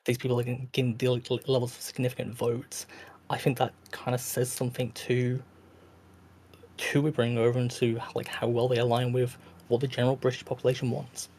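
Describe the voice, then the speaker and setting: Tired, gravelly-voiced hesitant man. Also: mid-range voice, flat delivery, fairly quick, darkish tone, a little hiss or hum. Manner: hesitant, filler-heavy, restarts often. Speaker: guest. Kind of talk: casual debate chat.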